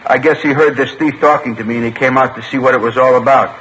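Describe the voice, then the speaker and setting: Actor as an older shopkeeper, bright and tense, earnest retelling, dull radio-drama sound. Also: male voice, medium pitch, fairly even intonation, fast, natural voice. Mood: recounting. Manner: earnest, step-by-step recounting. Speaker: robbery victim and witness. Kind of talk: 1950s radio crime drama acting. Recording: dull old radio broadcast with faint hiss.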